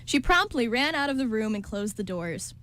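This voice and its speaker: Higher-voiced woman narrating a story. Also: expressive, normal speed, a bit bright. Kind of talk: scripted story narration for radio.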